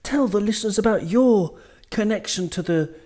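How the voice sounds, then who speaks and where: A man's voice: high-pitched, normal speed, a little mellow. A man hosting a football podcast, an upbeat, enthusiastic interviewer. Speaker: podcast host. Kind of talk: casual podcast chat.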